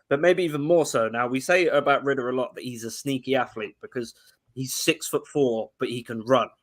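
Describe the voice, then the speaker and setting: A young man from England, a guest analyst, breaking down football in casual chat, talking fast with frequent restarts. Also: mid-range voice, fairly bright tone.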